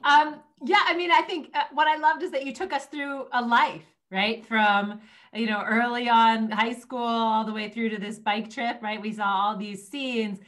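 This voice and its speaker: A woman with a slightly high voice speaks as a guest judge in a virtual storytelling contest. She gives conversational feedback over a video call, dotted with little checking questions. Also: steady tone, normal speed, a bit bright. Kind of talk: casual spoken feedback.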